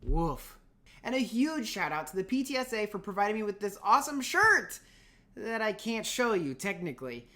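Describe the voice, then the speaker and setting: A woman's voice: on the low side, a bit bright. A woman teaching an informal scripted lesson for middle schoolers, chatty and playful with emphatic asides.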